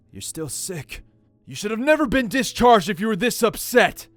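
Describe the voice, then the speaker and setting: An actor playing an earnest, apologetic military general with formal wording, in a dramatic comic dub. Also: male voice, high-pitched, sing-song, unhurried, no echo. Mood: shaken, concerned.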